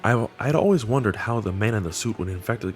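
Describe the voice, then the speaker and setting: A man's voice: lowish voice, animated, fast. A man giving casual, enthusiastic commentary on a horror web series, with slangy wording. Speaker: video host. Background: background music.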